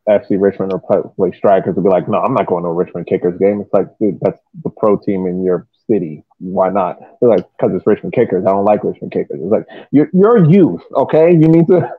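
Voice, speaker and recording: An American man with a fairly low voice discusses sport casually, like a panelist on a podcast. He works through his thoughts haltingly, doubling back on words. The sound is narrow and phone-like, with very little room around it. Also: slightly rough, no room sound. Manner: halting, repeats words as he reasons. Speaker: soccer podcast panelist and youth coach.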